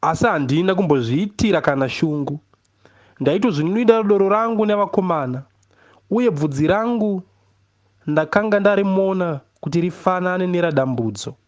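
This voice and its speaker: Higher-pitched Zimbabwean man reading literary prose. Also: animated, normal speed, highs cut off. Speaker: audiobook reader, poet. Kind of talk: literary narration read aloud.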